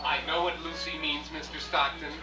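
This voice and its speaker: A woman acting in a radio play rehearsal as an earnest, flattering art admirer, polite and somewhat pretentious. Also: sits low, moderate pace, natural voice. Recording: roomy, distant, music behind, old rehearsal tape.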